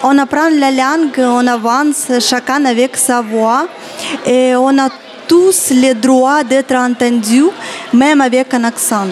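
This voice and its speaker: A woman's voice: high voice, takes it slowly. A woman giving prepared closing remarks in an earnest way, with fillers and restarts. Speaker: participant closing the show.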